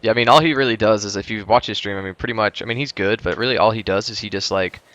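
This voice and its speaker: A man's voice: lowish voice, quick pace, fairly bright tone, highs cut off. A young man with a scratchy voice, chatting hesitantly with lots of fillers on a live stream. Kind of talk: casual live-stream chat.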